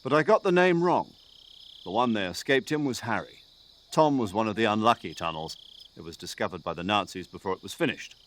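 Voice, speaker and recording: A man voicing an older military commander in a video game, precise and drily good-humoured, articulate and measured as he briefs an agent. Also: fairly low pitch, animated, a bit bright. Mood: wry, chatty. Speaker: mission commander giving a radio briefing.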